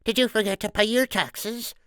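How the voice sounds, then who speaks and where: A man voicing a thorny, worrying old farmer's wife in an excitable, scolding falsetto. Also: moderate pace, clean tone, dry recording, clean studio sound. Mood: alarmed, shrill.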